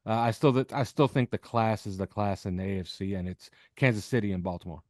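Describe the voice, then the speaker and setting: A man's voice: on the low side, fast, a little mellow, dry recording, clean studio sound. A man speaking off the cuff as a football analyst on a podcast, making emphatic points and checking in with short questions. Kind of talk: unscripted sports analysis.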